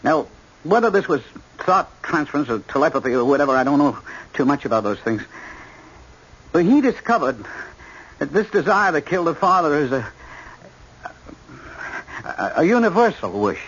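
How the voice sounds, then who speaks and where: An actor playing a frank, brooding young male killer in prison, halting as he searches for words, in a vintage radio drama. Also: fairly high pitch, sing-song, fairly bright tone, a little hiss or hum. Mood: earnest, reflective. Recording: dull lo-fi old radio recording.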